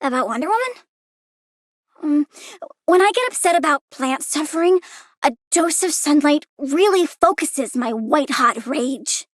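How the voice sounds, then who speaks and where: A woman's voice: high voice, lively intonation, a little slow, no room sound, clean studio sound. A woman voicing a childlike young plant-controlling villain girl in a video game, perky, precocious and sassy, eager and cheerfully menacing. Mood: sassy, impatient. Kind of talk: video game character voice lines.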